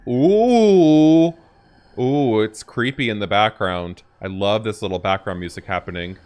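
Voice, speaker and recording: A man's voice: on the low side, measured pace, a little mellow, clear, resonant tone. A man hosting a gaming reaction video, talking casually in an enthusiastic, emphatic way. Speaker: host of a gaming reaction channel.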